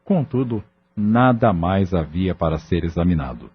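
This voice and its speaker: Male narrator for audio novel: lowish, husky, widely varied intonation, measured drama, muffled track with music. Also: expressive. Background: clear background music.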